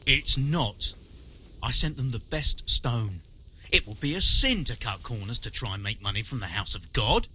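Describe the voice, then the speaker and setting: An actor playing a proud, indignant medieval quarry master in a video game, blunt, grumbling and defensive. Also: male voice, medium pitch, lively intonation, normal speed, pushed and bright, slight background noise. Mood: aggrieved, defensive. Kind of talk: video game dialogue acting.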